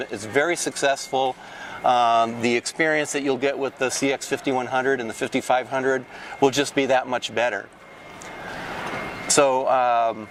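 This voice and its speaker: A man in his fifties giving an informal, explanatory product demonstration as a company representative, with halting delivery and frequent false starts. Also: mid-range voice, a bit bright. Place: large indoor exhibition hall booth. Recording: clear ambient trade show floor noise.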